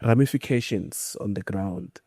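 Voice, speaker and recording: A man's voice: lowish voice, unhurried, dark tone, dry recording, clean studio sound. A man hosting a podcast interview, asking questions and affirming with frequent mm-hmms. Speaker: podcast host.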